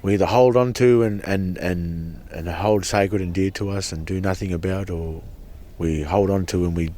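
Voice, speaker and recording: Guy, really low voice, earnest and passionate, keeps restarting words. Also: fairly even intonation, moderate pace, dark tone. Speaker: protester at a sacred site camp. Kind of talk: impassioned personal testimony.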